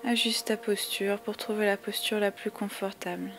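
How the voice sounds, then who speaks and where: A woman guiding a yoga relaxation meditation with calm, soothing, measured instructions and long pauses. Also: mid-range voice, slight background noise. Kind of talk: guided meditation, slow spoken body-scan instructions. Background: music.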